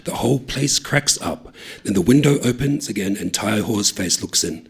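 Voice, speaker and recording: A man giving an expressive, animated reading of a short story, voicing each character. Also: on the low side, normal speed, husky. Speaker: story reader.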